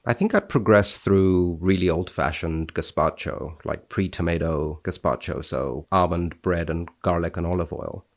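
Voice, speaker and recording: A man speaking as a podcast guest, wry and expressive, with a dryly playful touch. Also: low-pitched, normal speed, few pauses. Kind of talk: dramatic reading and wry conversation.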